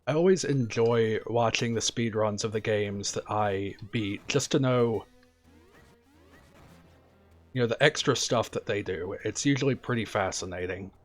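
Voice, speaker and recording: A man streaming a video game, talking casually and quietly about what he's doing in a relaxed, chatty way. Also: lowish voice, a little slow, faint music behind. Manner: soft-spoken, relaxed and chatty. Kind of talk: casual gameplay commentary.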